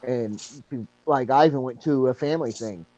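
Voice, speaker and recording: A man's voice: medium pitch, fairly quick, warm tone, muffled recording, a little hiss or hum. A man in his thirties chatting casually, rambling with lots of fillers and repeating himself. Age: 30s. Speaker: support group member. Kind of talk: casual chat.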